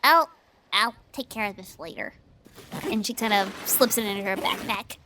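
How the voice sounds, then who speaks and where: A woman's voice: mid-range voice, normal speed, sharp tone, slight background noise. A woman playing a tabletop game, chatting in a giggly, playful way. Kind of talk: casual play chat.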